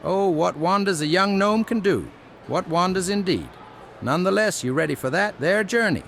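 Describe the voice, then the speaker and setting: Chatty old fantasy elder, crackly high voice, kindly, some noise behind. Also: male voice, lively intonation, normal speed, gravelly, fairly bright tone, full character voice. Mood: cheerful, wondering. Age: older. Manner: folksy, rambling, reassuring. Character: kindly, chatty old gnome-folk elder who loves mushrooms. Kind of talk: dramatized fantasy audio story.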